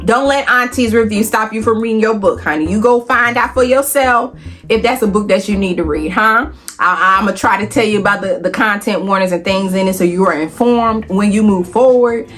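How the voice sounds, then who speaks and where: An American woman talking casually as a book vlogger, animated, emphatic and playful, with a folksy, familiar way of addressing viewers. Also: mid-range voice. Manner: animated, emphatic, playful, calls herself auntie; folksy, familiar address with y'all, honey, child. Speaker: book reviewer and video host. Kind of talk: casual, chatty book vlog.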